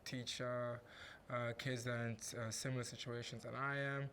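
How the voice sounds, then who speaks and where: A man in his thirties from Rwanda, a wheelchair basketball athlete answering interview questions, halting with many fillers and restarts. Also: mid-range voice, level tone, a bit bright, slight background noise. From Rwanda, raised in southern Africa.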